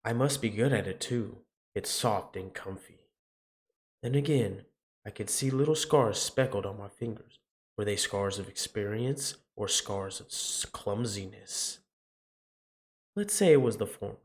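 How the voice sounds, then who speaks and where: A man's voice: fairly low pitch, a little slow. A man reading visual novel narration aloud dramatically as he plays. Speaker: game player reading aloud.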